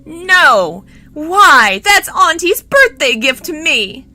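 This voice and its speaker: A spoiled young nobleman played by a woman narrator in a storybook reading, raised high and loud with rage. The delivery is bright and forceful, shouting and sneering like someone who always gets his way. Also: very animated, slow pace, full character voice. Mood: indignant yelling. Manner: shouting, sneering, foul-mouthed. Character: spoiled, furious young nobleman, rampant and raging.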